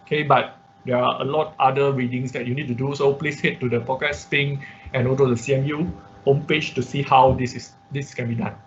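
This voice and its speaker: A man teaches an informal live online tutorial, explaining haltingly with repetition and frequent check-in questions. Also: mid-range voice, flat delivery, slight background noise. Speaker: instructor of an online robotics class. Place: online class call. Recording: dull, muffled online-class audio.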